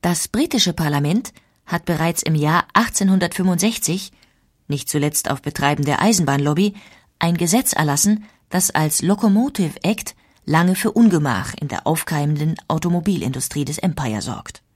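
A woman's voice: low-pitched, expressive, normal speed, breathy edge. A woman reading scripted historical documentary narration in a factual, composed manner.

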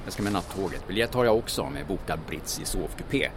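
An actor playing a sardonic, defiant clerk travelling under occupation in a radio drama, dry and mock-polite. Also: male voice, deep voice, animated. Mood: dry defiance. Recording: noisy urban station with vehicles.

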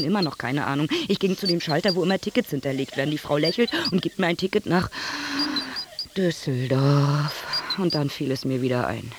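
An actress playing a restless, wryly lovesick music journalist, confiding in a radio play monologue. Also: female voice, low-pitched, heavy background noise, natural voice.

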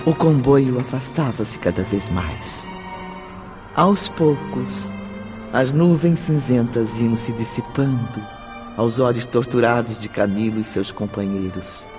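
A man's voice: mid-range voice, slow, close to an everyday voice. A man as a solemn storyteller, narrating a radio drama in a grave, dramatic, literary way. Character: solemn third-person storyteller. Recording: background music under narration.

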